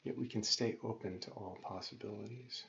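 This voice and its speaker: A man leading a guided meditation as a yoga teacher, calm and gentle, giving measured instructions with pauses. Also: fairly low pitch, vocal fry. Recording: dull lo-fi recording with faint noise.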